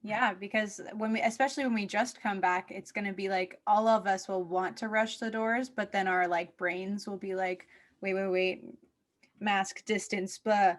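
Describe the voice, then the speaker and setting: A woman hosting a podcast, chatting casually in an animated, informal way. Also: medium pitch, moderate pace. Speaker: podcast host. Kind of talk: casual chat.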